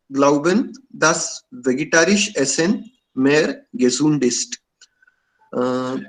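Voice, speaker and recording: A man from India talks slowly in a non-native tongue. He stops often and fills the gaps with pauses. His voice sits somewhat high for a man. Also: rough recording. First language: Indian language. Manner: halting, many fillers. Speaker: club member sharing views. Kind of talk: hesitant casual talk in learner German.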